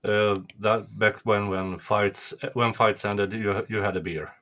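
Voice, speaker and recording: Slow, unhurried man chatting. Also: lowish voice, fairly even intonation, a bit bright. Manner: unhurried, thoughtful, with many fillers. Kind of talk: casual chat on a stream.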